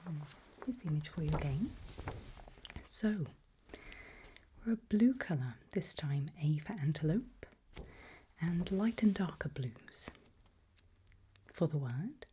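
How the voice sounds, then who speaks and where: Calm female doctor, played by a woman for ASMR roleplay: unhurried breathy whisper, lowish pitch, patient and reassuring. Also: a little hiss or hum. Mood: gentle, reassuring. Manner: slow, gentle, reassuring. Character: calm reassuring female doctor running a creepy memory test.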